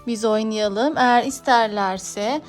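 A woman's voice: fairly high pitch, slow. A woman talking as a mother playing toys with her child, narrating the play in a coaxing, encouraging way.

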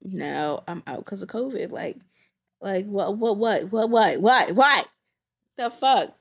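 A woman's voice: medium pitch, lively intonation, slow, slightly rough. A young woman, congested from covid, chatting bluntly and swearing freely in a casual vlog. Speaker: vlogger.

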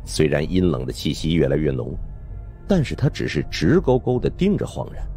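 A man's voice: rumbling low, wildly swooping pitch, normal speed, mellow, soft background music. A man narrating a supernatural audiobook in a dramatic, suspenseful scripted style.

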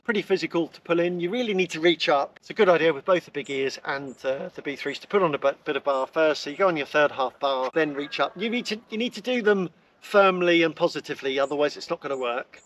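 Middle-aged male reviewer, slightly high voice, chatty and enthusiastic, recorded outdoors in a garden. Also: a bit bright. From England. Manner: chatty, enthusiastic, frequent restarts. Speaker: gear reviewer. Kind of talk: casual product review.

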